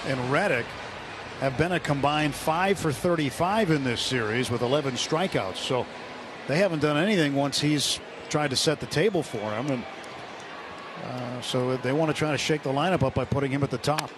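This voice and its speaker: A man giving relaxed, explanatory color commentary on a radio baseball broadcast. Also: fairly high pitch, normal speed. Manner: relaxed, explanatory analysis with fillers. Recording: radio broadcast with background noise.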